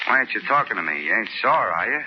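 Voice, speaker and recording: Down-on-his-luck drifter, lowish voice, folksy, rambling, eager, vintage radio play, muffled recording. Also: sing-song, quick pace. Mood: chatty, pleased. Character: chatty, naive down-and-out male drifter, eager to please. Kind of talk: 1940s radio acting. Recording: dull, noisy vintage 1940s radio recording.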